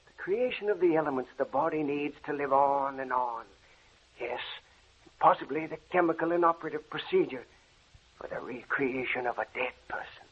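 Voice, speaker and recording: Obsessed male scientist, fairly high voice, husky, insistent, vintage radio drama. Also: normal speed, a bit bright. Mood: obsessed, defiant. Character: obsessed, stubborn male research scientist and husband. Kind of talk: 1940s radio drama acting. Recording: tinny, muffled 1940s radio broadcast.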